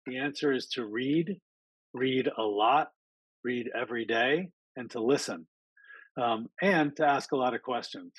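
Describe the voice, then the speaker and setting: A man's voice: mid-range voice, dry recording, low-quality recording. Man, friendly chatty presenter, video call mic, dry close sound, many ums. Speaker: speaker at an online town hall. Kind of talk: casual presentation to an audience.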